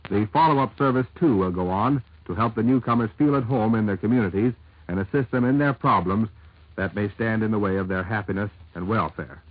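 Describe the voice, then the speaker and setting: Through a narrow, tinny 1940s radio recording comes a man with a fairly low voice. He reports the news from a script, measured and emphatic, in a dry acoustic. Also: no room sound.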